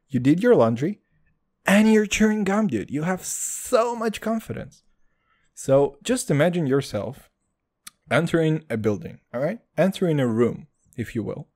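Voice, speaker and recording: Crude, excitable man ranting. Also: on the high side. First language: Hebrew. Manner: crude, loud swings, calls listeners dude. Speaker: podcast host. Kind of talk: comedic solo podcast rant.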